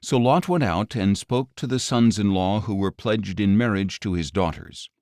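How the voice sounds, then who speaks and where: A man reading scripture as an audio Bible narrator, with measured, expressive storytelling. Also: on the low side, no echo, studio quality.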